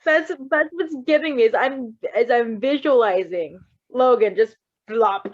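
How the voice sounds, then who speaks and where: Giggly woman w a high voice reading fanfic out loud, chatty n expressive, kinda dull lofi mic. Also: a little slow. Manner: giggly, expressive, exclaiming and swearing. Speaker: fan fiction reader. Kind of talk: giggly live read-aloud with casual chat.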